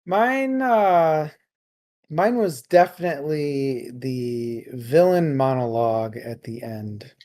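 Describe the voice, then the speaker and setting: Informal co-host for a book chat, very slow delivery, medium pitch. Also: animated, darkish tone. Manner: informal, laughs often. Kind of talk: casual chat, book discussion.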